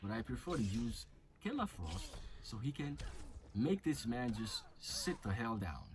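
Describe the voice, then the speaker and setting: A man's voice: medium pitch, very animated, measured pace. A young man with a flu giving casual, crude commentary over a mobile game. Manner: chatty, crude jokes, thinking aloud. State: slightly sick with flu.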